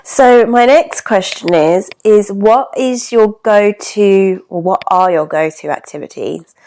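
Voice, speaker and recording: A woman's voice: mid-range voice, slow, mellow. A woman casually interviewing her co-host on a podcast, asking questions in a chatty style.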